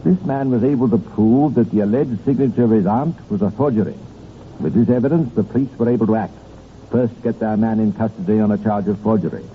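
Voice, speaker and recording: A British man narrating a 1950s radio crime drama in a deliberate, dramatic, knowing way. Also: on the low side, lightly acted. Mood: measured, wry. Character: measured, knowing male crime-case narrator. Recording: tinny, noisy 1950s radio recording.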